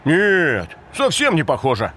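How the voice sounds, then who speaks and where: High-voiced excitable cartoon animal. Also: male voice, a little slow, over music. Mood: puzzled, fond. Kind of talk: cartoon voice acting.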